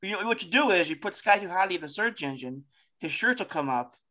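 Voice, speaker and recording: A man's voice: sits high, expressive, fast talker, sharp tone. A man co-hosting a podcast in casual chat, playful and teasing. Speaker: podcast co-host.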